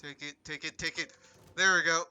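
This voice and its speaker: A man's voice: on the high side, fairly even intonation, fairly quick, sharp tone, clean tone. A man giving casual live commentary as he plays and streams a video game.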